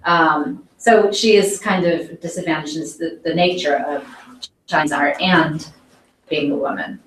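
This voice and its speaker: A woman's voice: low voice, steady tone, measured pace, a bit bright, slight background noise. A woman teaching an art history class, leading a discussion and thinking aloud with frequent restarts. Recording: echoey classroom, distant mic.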